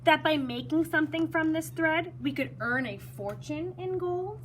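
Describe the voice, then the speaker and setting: A woman's voice: high voice, normal speed, a little hiss or hum, pitched above the performer's own voice, natural voice. A woman voicing earnest, polite young brothers in a children's folktale, with emphatic delivery. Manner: emphatic storybook dialogue.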